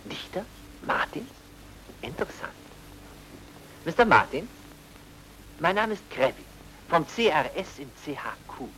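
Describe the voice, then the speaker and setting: Animated, pompous cultural official. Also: male voice, on the high side, a little slow, pauses often, slight background noise, full character voice, rough recording. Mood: pompous, eager. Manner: busy, pompous, eagerly hospitable. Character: fussy, garrulous, self-important cultural propaganda official. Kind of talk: mid-century German radio comedy-thriller acting.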